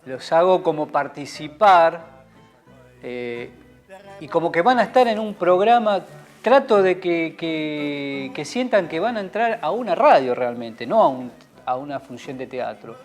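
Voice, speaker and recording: A man's voice: on the high side, very animated, measured pace, faint music behind. A male actor explaining his role in an interview, hesitant and searching for words.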